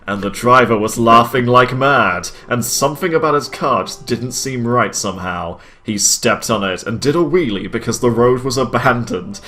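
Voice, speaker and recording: A man's voice: mid-range voice, pushed and bright. A man giving a comic dramatic reading of fan fiction as a host, with amused asides. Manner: amused, breaks into laughter while reading. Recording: music behind the voice. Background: background music.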